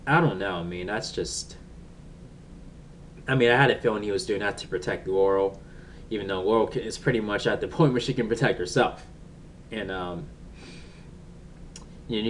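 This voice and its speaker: Deep-voiced man rambling, distant mic. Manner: halting, rambling, searching for words. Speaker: TV episode reviewer. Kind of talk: casual unscripted review chat. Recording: distant mic with faint background noise.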